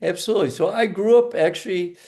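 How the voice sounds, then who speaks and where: Gravelly older man from western New York. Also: high-pitched, fast talker, warm tone. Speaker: guest, retired basketball coach and speaker. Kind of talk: conversational life storytelling.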